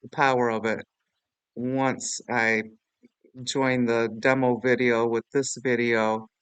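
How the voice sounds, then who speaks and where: A man hosting a casual software walkthrough in an informal, rambling, self-deprecating way. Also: medium pitch, level tone, takes it slowly, clear, resonant tone, no room sound. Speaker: tutorial host.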